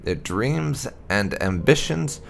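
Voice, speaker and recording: A man narrating game lore as a gaming host, in a measured storyteller's manner with emphatic phrasing. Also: medium pitch, big pitch swings, creaky, a bit bright, slight background noise. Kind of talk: reading game lore aloud as scripted narration.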